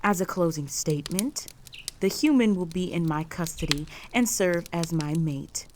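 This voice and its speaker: Girl voicing a bossy proud orc chieftain lady, kinda low voice, measured n stern, audio rp, some noise behind her. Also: natural voice. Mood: commanding, stern. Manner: authoritative, formal, measured speech-making. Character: possessive, commanding young female orc chieftain, stern, proud. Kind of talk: roleplay audio drama monologue.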